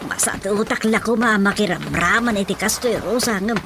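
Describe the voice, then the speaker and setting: A woman's voice: mid-range voice, normal speed, background noise, lightly acted. A woman playing a nosy, homely mother in an Ilocano radio drama comedy, with an Ilocano accent. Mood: chatty. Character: Rosa's mother, nosy homely Filipino matron.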